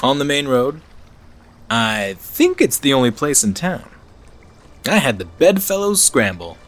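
An actor playing an affable, knowing RV traveler in an audio drama, conversational and slightly teasing. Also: male voice, a little high, big pitch swings, a little slow, vocal fry, a bit bright, exaggerated voice. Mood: casual, teasing. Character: affable, knowing male RV traveler, subtly probing. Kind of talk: naturalistic audio drama acting.

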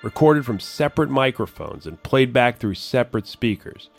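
A man's voice: medium pitch, big pitch swings, normal speed, gravelly, soft background music. A man reading a scripted, narrative feature story as a reporter and narrator.

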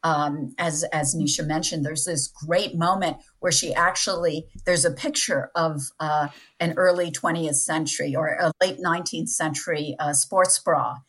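A woman speaking as an interviewed guest, enthusiastic and thoughtful, often halting and restarting as she explains. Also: low voice, steady tone, fairly bright tone, slightly rough. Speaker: interviewed guest, scholar and book editor. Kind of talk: spoken interview discussion.

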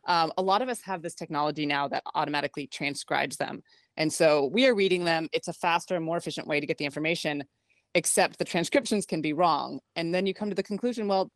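A woman's voice: fairly low pitch, no echo, clean studio sound. A woman tech reporter, a radio guest, talking in a chatty, wry way and laughing easily. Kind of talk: radio interview.

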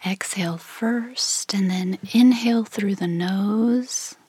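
A woman leading a guided meditation in a soft, breathy, near-whispered voice, gently and without hurry, leaving long pauses. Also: mid-range voice, dry recording. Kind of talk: guided meditation, slow calm instruction.

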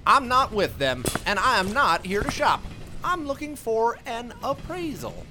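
A man's voice: very high-pitched, huge pitch swings, measured pace, music in the background, heavily acted. An actor playing a grumpy, blunt retired waste worker who threatens people with his shovel. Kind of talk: audio drama acting.

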